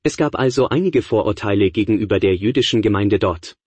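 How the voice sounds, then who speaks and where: A man giving a measured, explanatory lecture on early church history. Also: fairly low pitch, animated, darkish tone, breathy edge, dry recording.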